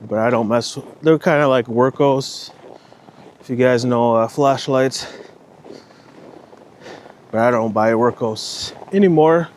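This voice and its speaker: A man's voice: mid-range voice, measured pace, long pauses, darkish tone, slight background noise. A man vlogging casually on a night walk outdoors, rambling and swearing now and then, with a slight Minnesotan accent. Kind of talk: casual walking vlog.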